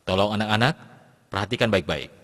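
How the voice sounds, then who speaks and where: A man playing a patient Islamic studies teacher who addresses pupils in a measured, instructive way in a scripted cartoon lesson, recorded with long reverb. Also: fairly low pitch, fairly bright tone. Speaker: religious teacher.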